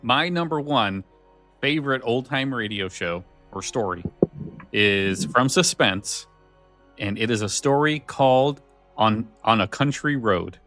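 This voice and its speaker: A man's voice: mid-range voice, expressive, unhurried, a bit bright, soft background music. A man hosting a podcast in casual conversation about scary stories, often repeating words as he feels his way along. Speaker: podcast host.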